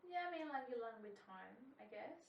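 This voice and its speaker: A woman's voice: on the high side, animated, a little fast, gravelly, recorded from a distance, dull audio. A woman from Colombia whose first language is Spanish, co-hosting a podcast in candid casual chat with a Colombian accent. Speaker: podcast co-host. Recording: roomy sound from off mic.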